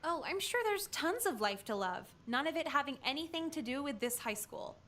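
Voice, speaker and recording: A woman voicing a cynical, foul-mouthed teenage schoolgirl in a visual novel game, sarcastic and deadpan. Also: high voice, moderate pace, a little hiss or hum. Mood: sardonic.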